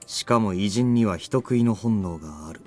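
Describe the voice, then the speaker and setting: Blunt, quick-spoken young man played by an actor. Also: lowish voice, darkish tone, faint music behind, close to an everyday voice. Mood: serious. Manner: blunt, curt. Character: gruff, terse, caring young man. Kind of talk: Japanese visual novel voice acting.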